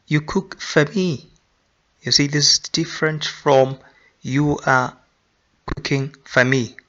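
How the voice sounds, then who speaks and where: Slow, deliberate male English teacher. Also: fairly high pitch, fairly even intonation, fairly bright tone. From Nigeria. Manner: repeats example sentences slowly, with filler ums. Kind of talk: slow, deliberate grammar lesson. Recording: dull lo-fi sound.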